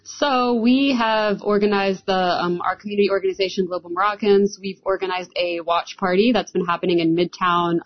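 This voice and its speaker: A woman whose voice is hoarse from weeks of screaming, talking as a guest in a radio interview in an upbeat, chatty way. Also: mid-range voice, fairly even intonation, moderate pace, few pauses, lo-fi sound. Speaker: community organizer, football fan.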